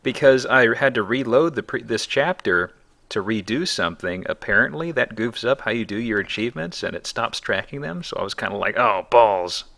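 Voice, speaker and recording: A male let's play host talking casually over a game. His voice is on the low side, crackly with fry and bright, and his delivery is wry. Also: lively intonation, normal speed.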